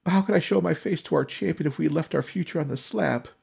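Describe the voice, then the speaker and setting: A man voicing an earnest, frightened but determined young woman scholar in a fantasy audiobook, breathy and urgent. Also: on the high side, fast, darkish tone, voice pushed up, full character voice. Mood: pleading, resolute.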